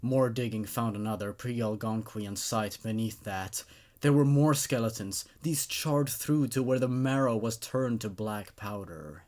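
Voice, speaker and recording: Man acting a grave storyteller, low voice, hushed, somber, measured, fearful, scripted horror narration. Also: natural voice. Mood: grave, ominous.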